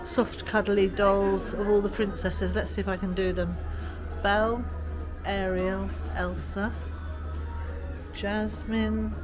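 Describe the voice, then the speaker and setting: A woman's voice: mid-range voice, little pitch movement, slow. Chatty British woman vlogging in a park. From Britain. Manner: chatty, listing items as she films. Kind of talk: casual theme park vlog narration. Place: outdoors in a busy theme park. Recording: loud park music and crowd behind.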